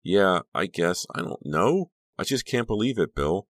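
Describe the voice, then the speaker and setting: Casting: male reader playing high-school girl, shaken and guarded. Raised pitch, hesitant, strained audiobook dialogue. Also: very animated, a little slow, fairly bright tone, dry recording, clean studio sound, heavily acted. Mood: fragile.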